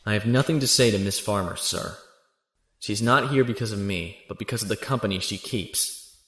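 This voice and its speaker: An actor playing a stiff, earnest young imperial officer in an audio drama, formal and stern. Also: male voice, fairly low pitch, moderate pace, fairly bright tone. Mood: respectful, stiff. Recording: echoey room, music behind.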